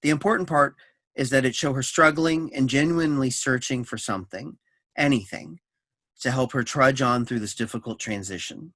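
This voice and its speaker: A man's voice: medium pitch, moderate pace, a bit bright, no room sound. A man reading scripted stage directions and scene summaries for a staged play reading.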